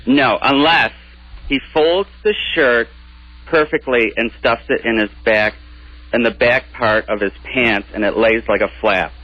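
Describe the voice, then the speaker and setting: A man's voice: medium pitch, measured pace, no room sound. A man chatting casually as a guest on a radio show. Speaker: interview guest. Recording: dull lo-fi radio recording.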